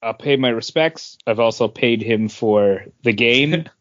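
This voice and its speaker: A man's voice: mid-range voice, unhurried, no echo. A man co-hosting a podcast in casual chat, playful and teasing. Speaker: podcast co-host. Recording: dry dull lo-fi recording.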